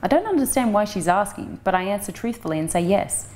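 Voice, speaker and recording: A woman's voice: medium pitch, animated, fast talker, a little mellow. A woman reading a first-person novel excerpt as an actress, in a frank, matter-of-fact storytelling manner. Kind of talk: first-person audiobook narration.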